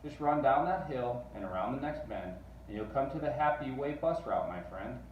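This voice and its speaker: A man's voice: medium pitch, highs cut off, natural voice. A man voicing a breezy, bossy, confident chap in a children's storybook. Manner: confident, persuasive, smug. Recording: distant mic, roomy echo, faint noise.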